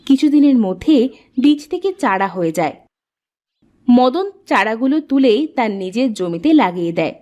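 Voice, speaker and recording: High-voiced woman narrating a cartoon story. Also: expressive, normal speed, dark tone, lo-fi sound.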